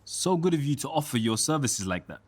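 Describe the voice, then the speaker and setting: An actor playing a stoical shopkeeper who has a cold, in a radio soap. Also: male voice, medium pitch, big pitch swings, a little fast.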